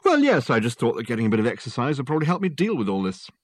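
A man's voice: mid-range voice, huge pitch swings, very fast, no echo, pitched above the performer's own voice. Guy doing an indignant nerdy programmer in a storybook, defensive, literal, dry close sound. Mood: sheepish. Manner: indignant, self-justifying. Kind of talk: audiobook character dialogue.

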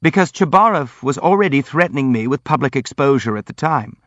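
Man voicing an earnest, gentle young prince. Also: medium pitch, lively intonation, a little fast, no echo, lo-fi sound, voice pushed up, close to an everyday voice. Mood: hasty, apologetic. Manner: polite, earnest, hastily apologetic.

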